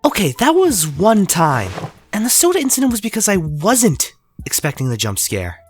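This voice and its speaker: A woman's voice: medium pitch, wildly swooping pitch, moderate pace, faint music behind. A woman voicing a cheerful, teasing teenage boy sorcerer in a fan audio drama. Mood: playful. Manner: playful, teasing.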